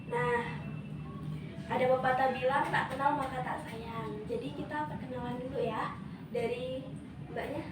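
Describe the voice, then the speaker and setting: A woman's voice: high-pitched, steady tone, measured pace. A young woman leading a semi-formal group session as a student counselor. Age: young adult. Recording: distant, echoey room with loud noise.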